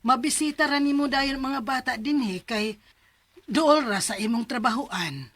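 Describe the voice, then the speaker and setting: A woman's voice: high-pitched, measured pace, a bit bright, no echo. A woman acting a kindly, helpful older aunt in a Bisaya radio drama, speaking reassuringly with a Filipino Bisaya accent.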